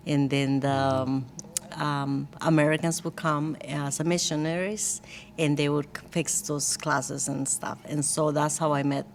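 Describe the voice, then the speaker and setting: A woman's voice: low-pitched, fairly even intonation, measured pace, a little hiss or hum. A woman from Costa Rica with a Costa Rican Spanish accent, telling her personal story in a candid, chatty, lightly joking way as an interview guest. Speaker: guest, church worship team leader.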